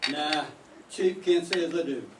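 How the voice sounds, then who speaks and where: A folksy, eager police sidekick from a vintage radio mystery, played by an older man. The voice is on the high side, heard at a distance with noticeable noise around it. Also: measured pace, fairly bright tone. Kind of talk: 1940s radio mystery acting. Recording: echoey distant mic, clear background noise.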